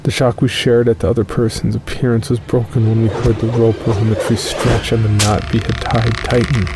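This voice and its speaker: A man playing a shaken, weary caver who tells his horror story from a journal in a measured, solemn way. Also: mid-range voice, little pitch movement, music in the background, close to an everyday voice, rough recording. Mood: tense, haunted. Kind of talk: first-person horror narration.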